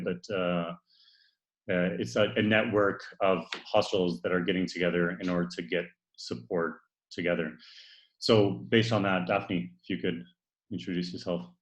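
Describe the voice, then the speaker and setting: A man with a lowish voice co-hosts a video call, rambling and hesitating with many fillers. His voice rings in a roomy, echoey space. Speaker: co-host.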